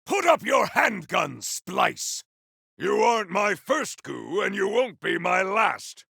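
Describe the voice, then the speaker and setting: An actor voicing a fierce, honorable cyborg warrior in a video game, speaking in a theatrical, grandiose, gravelly way. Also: male voice, high voice, very animated, slow pace, pushed and bright, dry recording, studio quality, exaggerated voice. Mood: battle fury. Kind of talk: video game combat barks.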